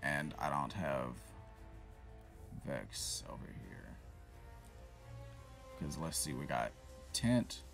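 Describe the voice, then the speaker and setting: A man giving casual, profanity-laced commentary while playing a shooter game, reacting loudly to what happens on screen. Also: very deep voice, sing-song, extremely slow, gravelly, pauses often, soft background music. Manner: swears freely, reacts loudly to in-game events. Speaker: gameplay commentator.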